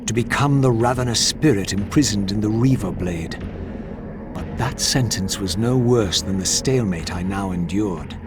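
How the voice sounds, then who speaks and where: A man's voice: fairly low pitch, moderate pace, lightly acted. A male actor playing a proud, defiant wraith in a video game, speaking in a formal, theatrical and bitterly sardonic manner. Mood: brooding. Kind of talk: dramatic video game dialogue and narration. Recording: loud music behind the voice.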